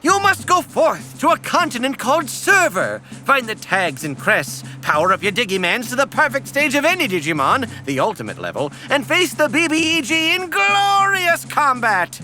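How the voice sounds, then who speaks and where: A man's voice: extremely high pitch, huge pitch swings, normal speed, hardly any pauses, pushed and bright, full character voice. A man voicing a crazed, evasive old sage in a cartoon parody, rambling and pompous with a flippant, whimsical manner. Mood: flippant, grandiose. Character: crazed, evasive old male sage guiding child adventurers. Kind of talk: comedic animated parody voice acting.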